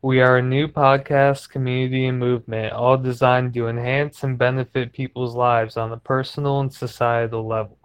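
A male podcast host whose voice comes across smooth and resonant. He talks in a friendly, informal way and leans on casual fillers as he chats. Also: medium pitch, level tone, normal speed, few pauses. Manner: friendly, informal, uses filler words like "like" and "you know".

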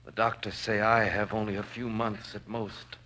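Low-voiced, ailing, demanding rich man. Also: flat delivery, normal speed, sharp tone, low-quality recording. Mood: bitter, weak. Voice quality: weak, quavering. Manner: imperious, pleading, self-pitying. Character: sick, frightened, demanding rich father of fifty-five. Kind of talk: 1940s radio acting. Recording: vintage radio drama recording.

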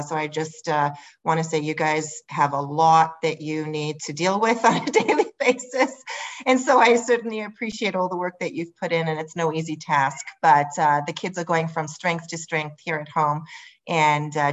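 A middle-aged woman with a South African accent introducing herself as an interview guest in a gracious, upbeat, conversational way. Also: deep voice. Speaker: guest, mortgage advisor.

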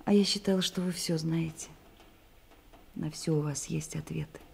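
A woman's voice: low-pitched, steady tone, moderate pace, gravelly, darkish tone, breathy edge, exaggerated voice. An actress playing a sensitive, anxious woman shyly in love in a Russian radio play, impulsive and emotional. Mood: agitated, tender.

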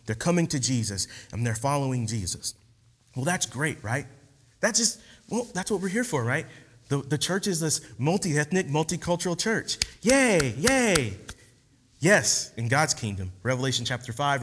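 Man, preacher, casual slangy sermon, lots of ums, medium pitch, long hall reverb. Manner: relaxed, slangy, animated teaching with many fillers. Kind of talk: casual sermon with opening prayer.

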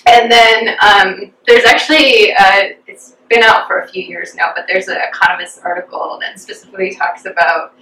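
Bright-voiced young woman telling stories. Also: mid-range voice, fairly even intonation, moderate pace, few pauses. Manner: chatty and reflective, with frequent fillers. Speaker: interview guest. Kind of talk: casual personal storytelling. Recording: roomy echo, distant from the mic.

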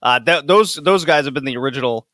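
A man hosting a casual podcast chat, rambling quickly and laughing often. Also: mid-range voice, sharp tone, no echo, studio quality. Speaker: podcast host.

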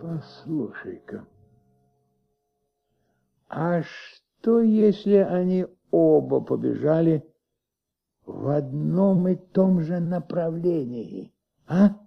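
An actor playing an ailing, insistent middle-aged police commissioner in a radio play, gravelly and halting, repeating himself as he thinks aloud. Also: on the high side, very animated, full character voice. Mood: pondering, restless. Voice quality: gravelly, husky. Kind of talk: Soviet radio play acting. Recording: muffled old radio recording.

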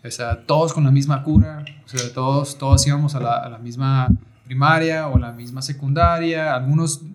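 A young adult man from Baja California, a podcast guest telling his life story in relaxed conversation full of slang and fillers. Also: medium pitch, moderate pace. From Mexico (Baja California). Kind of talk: casual conversation.

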